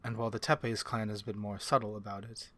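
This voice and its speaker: A man voicing a calm, courteous vampire in a soft-spoken ASMR fantasy roleplay, with measured, formal and gently reassuring speech. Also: lowish voice, lightly acted. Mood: grave, reflective. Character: calm, courteous male vampire ally to humans.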